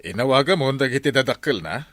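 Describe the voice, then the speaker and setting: A man's voice: medium pitch, animated, fast talker, a bit bright, no room sound, close to an everyday voice. A man playing a concerned working man asking questions on a call in an Ilocano radio drama.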